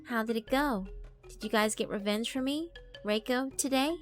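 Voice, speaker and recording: A woman's voice: a little high, normal speed, soft background music, pitched above the performer's own voice, close to an everyday voice. A woman voicing a yokai posing as a sweet, frightened schoolgirl, pleading with false innocence in a horror visual novel. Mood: sweet, worried. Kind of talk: visual novel character narration.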